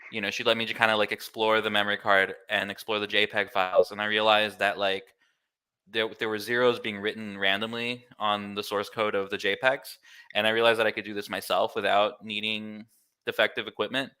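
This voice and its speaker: An American man in his thirties with a slightly low, bright voice explains things as a guest in a casual interview. His delivery is clear, informal and easygoing. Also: level tone, a little fast. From Miami, United States. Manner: easygoing, informal, filler-heavy with "like" and "kinda". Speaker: interview guest, glitch artist.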